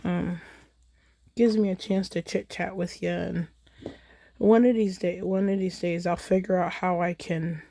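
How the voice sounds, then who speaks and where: An American woman chatting casually in a relaxed, rambling way as she hosts a crafting livestream, recorded on a phone in a holder. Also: on the low side, a little slow, no room sound, clean studio sound. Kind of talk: casual chat while crafting.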